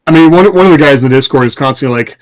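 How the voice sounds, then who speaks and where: A man's voice: medium pitch, very fast, poor audio. A man co-hosting a casual podcast, talking in an explanatory, conversational way. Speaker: podcast co-host and author.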